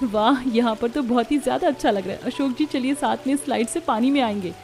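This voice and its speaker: A woman voicing a gentle, trusting young wife and elder sister in an animated family drama. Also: a little high, fairly quick, soft background music, voice pushed up, lightly acted. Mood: happy.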